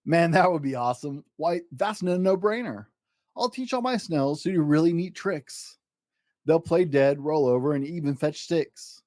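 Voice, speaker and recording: A man's voice: fairly high pitch, moderate pace, no room sound, clean studio sound, close to an everyday voice. A man voicing an exuberant, boastful eight-year-old schoolboy in a theatrical, rhyming picture-book story. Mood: eager, dreamy. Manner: excitable, grandiose, theatrical.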